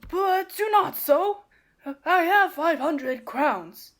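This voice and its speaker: Woman playing an anxious old servant man, high-voiced. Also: expressive, fairly bright tone, full character voice. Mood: earnest, devoted. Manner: earnest, emotive, slow declamation. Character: devoted old male servant, anxious and loyal. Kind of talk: Shakespearean radio play acting.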